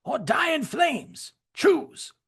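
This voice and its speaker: A man's voice: extremely high pitch, sing-song, very slow, creaky, fairly bright tone, voice pushed up, heavily acted. A man voicing a ruthless male German soldier with a mock German accent, barking threats. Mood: menacing.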